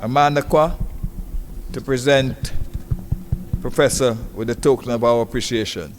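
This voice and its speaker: Formal male chair, mid-pitched, reverberant hall, faint noise behind. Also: normal speed. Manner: courteous, formal. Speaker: session chair. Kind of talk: chairing a conference session. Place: large conference hall.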